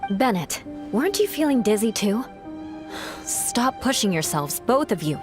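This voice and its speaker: Casting: cool, formal female knight for a game, measured and authoritative but caring, music clearly behind. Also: on the high side, sing-song. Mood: firm concern. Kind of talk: video game voice acting.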